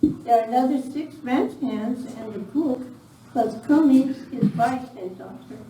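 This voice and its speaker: A woman's voice: on the high side, unhurried, close to an everyday voice. An amateur actress playing a cold Mexican woman bandit informant in a live radio western. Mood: businesslike, scheming. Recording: noisy, echoey room, distant miking.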